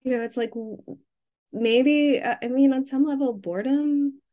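A woman talking casually as a novelist being interviewed, haltingly thinking aloud and often restarting her sentences. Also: high voice, dull audio.

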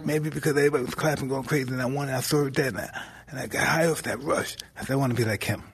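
A man hosting a casual interview, telling a story in an emphatic way, with a husky voice. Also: medium pitch, racing, fairly bright tone. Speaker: podcast host.

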